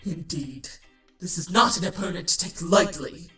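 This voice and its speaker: A woman's voice: on the low side, normal speed, sharp tone, soft background music. A woman voicing a grave, imperious changeling queen with pompous solemnity in a comedy audio drama. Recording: echoey, distant from mic.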